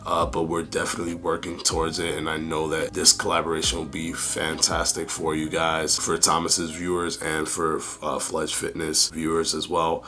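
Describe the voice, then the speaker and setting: Young guy, voice shot and raspy, super deep and flat, rambly fitness vlog update. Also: moderate pace, hardly any pauses, soft background music. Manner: rambling with many fillers and restarts. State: hoarse, losing voice. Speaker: fitness YouTuber.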